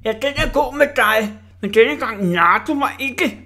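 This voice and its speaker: A reader voicing a scared, hoarse grown man in a circus who whimpers in panic, pitched well above the reader's own voice. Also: lively intonation, sharp tone. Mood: mocking.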